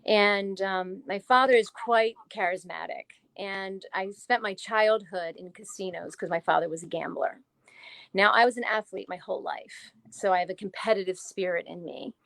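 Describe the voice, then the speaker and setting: A woman in her forties from New Jersey, a guest author telling her life story in a casual interview, chatty and animated, laughing often. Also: mid-range voice, moderate pace, vocal fry, no room sound. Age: 40s.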